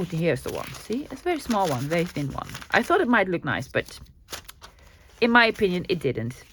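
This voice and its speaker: Dutch lady showing a craft project, lowish voice, chatty and relaxed, lots of ums. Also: a little hiss or hum. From Netherlands. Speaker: craft channel host. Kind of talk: craft tutorial.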